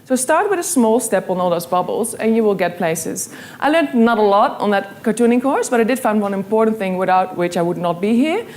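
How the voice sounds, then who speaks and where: A woman's voice: mid-range voice, lively intonation, brisk, a little mellow, slight background noise. A young woman from the Netherlands with a Dutch accent, giving an earnest, story-driven motivational talk to an audience. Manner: earnest, storytelling, quotes her own thoughts.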